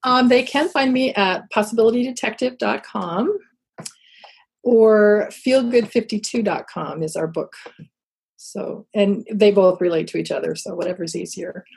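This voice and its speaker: A woman guest on a podcast, chatting in a relaxed, easygoing way. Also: medium pitch, a little slow, vocal fry, husky. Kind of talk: casual interview chat.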